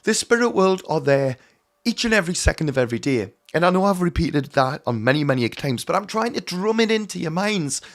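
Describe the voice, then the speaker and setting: A hoarse man giving an earnest, emphatic teaching talk on mediumship, often calling his viewers his friends. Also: a little high, very animated, a little fast. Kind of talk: direct-to-camera teaching talk.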